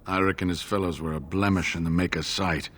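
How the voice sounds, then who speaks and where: An actor playing a weary, earnest scholar in a fantasy video game, speaking in a measured, formal, rueful way. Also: male voice, low voice, steady tone, a bit bright, a little hiss or hum. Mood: bitter.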